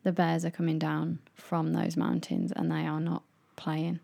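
Gravelly-voiced British young woman chatting. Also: deep voice, completely flat delivery, measured pace, warm tone. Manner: chatty, laughs often, playful exaggeration. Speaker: podcast co-host. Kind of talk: casual chat.

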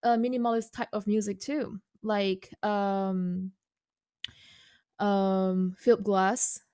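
A woman whose first language is Indonesian, a composer guest speaking English in a casual interview, halting with many fillers and restarts. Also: mid-range voice, little pitch movement, warm tone, smooth, no room sound, studio quality.

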